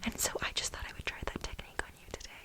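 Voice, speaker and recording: A woman playing an aggrieved, manic, overworked research assistant in a soft-spoken, whispered ASMR role play, with halting, dramatic complaints. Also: close to an everyday voice. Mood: tentative, confiding. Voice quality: breathy whisper.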